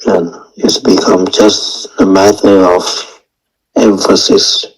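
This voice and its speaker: Man leading a meditation, really slow w long gaps, low husky voice, non-native english phrasing. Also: level tone, low-quality recording. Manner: halting, short phrases with long pauses. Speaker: meditation teacher. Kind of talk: guided meditation and dharma talk.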